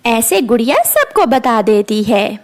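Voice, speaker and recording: High-pitched animated woman storyteller. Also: a little slow. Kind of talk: scripted children's cartoon narration.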